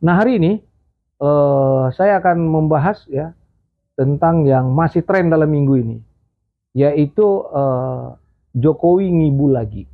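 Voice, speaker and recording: A man's voice: on the high side, unhurried. A man hosting a casual political commentary show, rambling with many fillers and a slight cough. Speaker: host. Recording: tinny phone-like audio.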